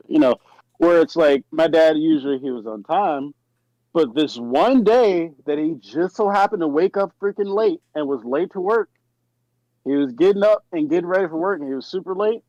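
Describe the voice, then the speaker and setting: A man's voice: a little high, darkish tone, no room sound, highs cut off. A man from New York co-hosting a live stream, telling personal stories casually and circling back to rephrase as he goes. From New York, United States. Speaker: live stream podcast co-host. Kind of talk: casual chat, personal storytelling.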